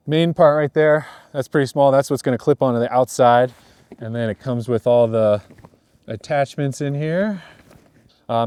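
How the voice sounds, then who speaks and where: Man, mid-pitched voice, dry recording, casual gear review, laid-back explainer. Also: darkish tone, clean tone, dry recording. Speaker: host of a ski gear review. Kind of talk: casual product review vlog.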